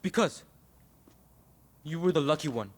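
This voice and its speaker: A man's voice: fairly high pitch, expressive, a little slow. An actor playing an anxious, defiant teenage boy, a dictator's son in a video game, earnest and urgent. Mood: wary.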